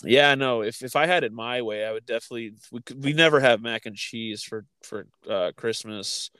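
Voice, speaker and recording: A man chatting casually as a podcast co-host, haltingly thinking aloud as he goes. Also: mid-range voice, a bit bright, smooth, dry recording. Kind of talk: casual chat.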